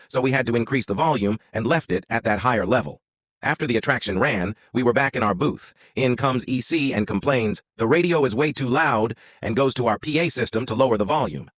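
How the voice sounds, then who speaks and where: A man's voice: medium pitch, fast talker, no echo, clean studio sound. A man reading out an online story as a scripted narration.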